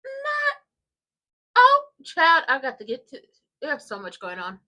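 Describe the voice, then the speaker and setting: A congested woman chats loosely and rambles to her live-stream audience from home. Her voice is bright and forward, and her tone stays casual and conversational throughout. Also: high-pitched, huge pitch swings, measured pace. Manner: rambling and chatty, addresses viewers directly. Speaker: live-stream host of a soap watchalong.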